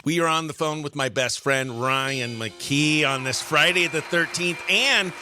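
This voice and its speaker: A man's voice: on the high side, measured pace, noisy background. A man hosting a radio morning show in a casual, chatty, jokey way. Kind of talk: casual phone-in chat and show patter.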